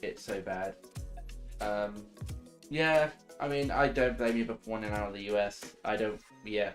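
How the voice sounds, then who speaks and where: A man's voice: lowish voice, a little slow. A tired man chatting casually as a livestream guest, hesitant and often trailing off. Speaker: livestream guest and roommate of the host. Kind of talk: casual chat. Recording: music behind the voice. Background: music.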